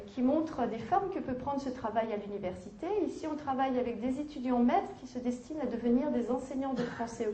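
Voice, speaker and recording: A woman giving an academic conference lecture, measured, with hesitations and self-corrections. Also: on the high side, dark tone, lo-fi sound, slight background noise. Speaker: university lecturer. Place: lecture hall. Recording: echoey lecture hall.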